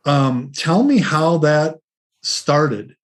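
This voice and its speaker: A man's voice: fairly high pitch, animated, drawn-out words. A man hosting an interview podcast, encouraging and enthusiastic, with frequent fillers. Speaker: podcast host.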